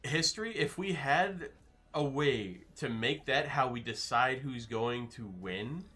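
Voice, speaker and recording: A man's voice: medium pitch, expressive, slow, pushed and bright. A man streaming live, casually reading and answering his chat with loose, joking replies.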